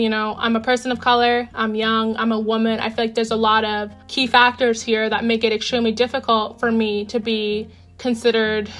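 A young woman in her twenties giving a deliberate, reflective personal account as an interviewee. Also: a little high, a bit bright. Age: 20s.

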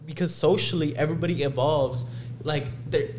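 Young guy on a podcast, kinda high voice, rowdy and swearing, lots of noise behind. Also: brisk, a little mellow. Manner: rowdy, swearing, laughing, argues his point. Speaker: podcast co-host. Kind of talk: casual chat among friends. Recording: clear background noise.

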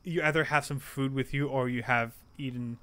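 A young man with a smooth, clean-toned voice talks about films with eager enthusiasm, swearing casually when something excites him. His pitch is mid-range for a man. He sounds like a devoted film fan co-hosting a relaxed podcast. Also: normal speed. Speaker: podcast co-host. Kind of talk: casual chat.

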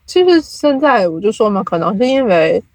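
A woman's voice: mid-range voice, very animated, normal speed, darkish tone, no echo. A woman speaking as a podcast guest, explaining things reflectively in a casual interview style. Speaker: podcast guest, audio drama producer.

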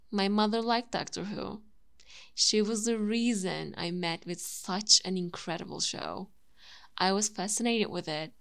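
A young woman with a Turkish accent hosting a podcast, telling her own story in a clear, measured way. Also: mid-range voice. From Turkey. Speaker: podcast host. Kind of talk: personal monologue.

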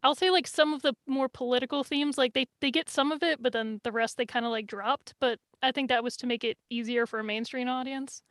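A woman's voice: high voice, steady tone, fairly quick, hardly any pauses, a bit bright, no room sound, studio quality. A woman as a podcast guest, sharing opinions in casual conversation.

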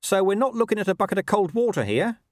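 A man's voice: sits high, very animated, quick pace, no echo, clean studio sound, pitched above the performer's own voice. A man voicing a cynical, streetwise little talking dog who chatters sardonically in a storybook reading. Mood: sardonic, nosy.

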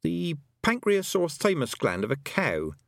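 Guy doing a sharp young girl for an audiobook, no nonsense, brisk, voice pitched up. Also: wildly swooping pitch, gravelly, dry recording, clean studio sound, heavily acted. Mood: practical, probing. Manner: brisk, blunt. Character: sharp, practical young girl searching for her brother.

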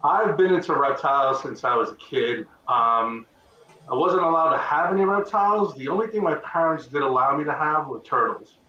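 A man's voice: on the high side, lively intonation, bright, forceful tone, lo-fi sound, a little hiss or hum. Man on a distant, roomy call. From Texas, north of Dallas. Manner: rambling, halting with restarts. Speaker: guest. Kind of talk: casual interview talk.

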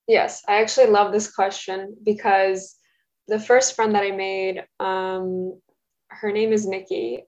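Young woman, russian first language, lots of ums, thinking out loud, kinda muffled recording. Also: mid-range voice. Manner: hesitant, thoughtful, many fillers. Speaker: interviewee. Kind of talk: casual interview. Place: home, roommate nearby. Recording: dull lo-fi recording.

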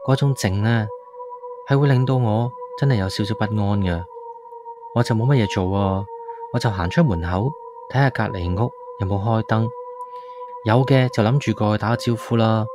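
Hong kong guy, low voice, acting as an uneasy tenant telling his ghost story like he's chatting to you, music under it. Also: normal speed, natural voice. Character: uneasy male city tenant moved to an old village house. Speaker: narrator and lead character of a radio drama. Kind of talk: first-person ghost story narration, colloquial. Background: background music.

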